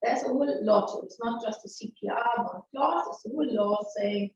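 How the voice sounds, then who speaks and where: German-accented woman presenting a webinar, higher voice, sounds far off and muffled over a call. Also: brisk, slight background noise. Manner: lively and frank, with frequent restarts and rhetorical questions. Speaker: webinar presenter, maritime lawyer. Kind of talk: conversational legal webinar presentation. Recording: very echoey, distant, muffled webinar audio.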